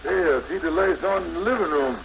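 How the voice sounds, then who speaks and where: A man's voice: sits high, fairly quick, vocal fry. A man acting a folksy, teasing married buddy with slangy, ungrammatical talk in a 1950s radio sitcom. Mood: chummy, nosy. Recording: dull, noisy 1950s radio broadcast.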